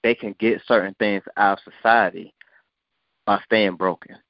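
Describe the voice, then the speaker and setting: Blunt, low-voiced male podcast host. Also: steady tone, normal speed, gravelly, no room sound. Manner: blunt, provocative, preachy. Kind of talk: casual podcast talk. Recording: dull lo-fi sound.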